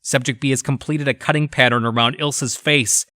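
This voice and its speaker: A man plays a male 1960s scientist in an audio drama, reading out his grim journal. His voice is bright and forward at a middle pitch. He reports in a measured, clinical way with an uneasy undertone, recorded completely dry. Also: steady tone, no echo, close to an everyday voice. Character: 1960s male lab researcher recounting grim experiments, unsettled.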